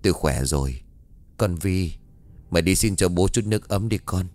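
A man voicing a shaken, grave older father in a horror story, speaking in a low, subdued way. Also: normal speed, darkish tone, close to an everyday voice. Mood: weak, grave.

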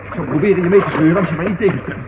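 A man's voice: on the high side, very fast, close to an everyday voice. A male actor playing a tough policeman in a radio drama, speaking in a curt, commanding, urgent manner. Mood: pressing, determined. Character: tough commanding male policeman rescuing his drowning colleague. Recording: noisy, tinny old radio drama recording.

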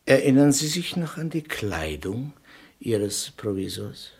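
An actor playing a wry, deceptively polite detective in a 1960s radio crime play, probing quietly in a husky, hesitant, insinuating way. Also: male voice, medium pitch, very animated, unhurried, heavily acted. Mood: placating.

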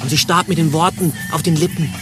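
An actor playing a weak, self-pitying but defiant administrative chief in a radio play, plaintive and overwrought. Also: male voice, a little high, steady tone, fast, lightly acted. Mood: weary, resigned. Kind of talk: theatrical radio play acting. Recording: music behind the voice.

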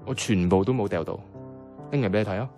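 A man with a Hong Kong Cantonese accent playing a caring, easygoing young flatmate in a radio drama, talking casually and earnestly. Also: on the low side, quick pace, lightly acted. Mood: earnest, reflective. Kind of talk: conversational radio drama dialogue. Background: background music.

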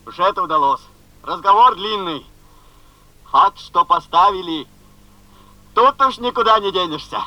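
An actor playing an earnest, flustered railway worker with halting speech, heard over a telephone in a 1970s Soviet radio play. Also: male voice, high voice, animated, dry recording. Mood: earnest, proud. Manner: halting, peppered with filler words. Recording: thin, muffled telephone line.

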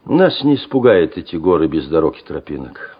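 An actor playing a resolute, commanding army major in a Soviet radio drama, speaking in an emphatic, oratorical way with a husky voice. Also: male voice, extremely low pitch, sing-song, moderate pace, full character voice. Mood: rousing, firm. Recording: dull, lo-fi old radio recording.

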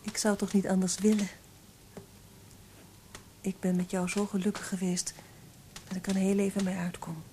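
An actress playing a lonely, devoted woman who speaks gently and soothingly in a radio play. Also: mid-range voice, little pitch movement, normal speed, long pauses, lightly acted. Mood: tender. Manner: soothing, gentle, hushed at tender moments. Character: lonely, devoted woman, tender and self-sacrificing. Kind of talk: Dutch radio play acting. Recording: noisy, hissy old radio play recording.

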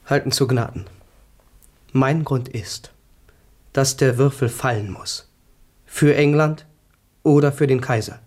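An actor playing a defiant young crown prince who pleads formally but bitterly, in a 1970s radio drama. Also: male voice, mid-range voice, steady tone, takes it slowly, long pauses, a little mellow, close to an everyday voice. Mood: pleading.

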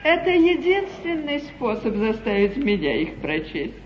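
Haughty duchess, echoey stage recording. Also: female voice, fairly high pitch, sing-song, darkish tone. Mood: cold, haughty. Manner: grand, icy, condescending, deliberate. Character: haughty, imperious English duchess at royal court. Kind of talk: 1950s theatrical stage comedy acting. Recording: muffled, echoey 1953 theatre broadcast, faint noise.

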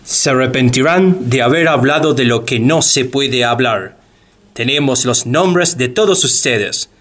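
A man's voice: medium pitch, measured pace, fairly bright tone. A man playing a menacing government censor delivering threats in a radio play. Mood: coldly threatening. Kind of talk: radio drama phone threat.

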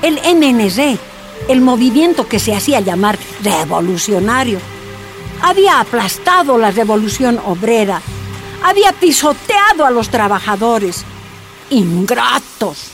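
A woman's voice: on the high side, sing-song, unhurried, soft background music. A woman playing an emotive, defiant mining activist, telling her story emphatically in a radio drama. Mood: bitter. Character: bitter, defiant Bolivian miners' woman activist. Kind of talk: first-person radio drama narration.

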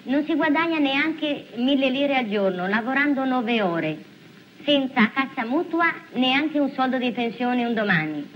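A woman's voice: sits high, animated, unhurried, muffled recording, a little hiss or hum. A working woman giving a plain personal account in an archive interview recording.

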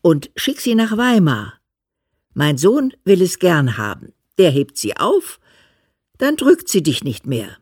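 A woman's voice: fairly low pitch, very animated, slow, a little mellow, breathy edge, dry recording, clean studio sound, heavily acted. An actress playing a chiding, motherly old woman in a German radio play, plain-spoken and full of homely advice. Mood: firm, advising.

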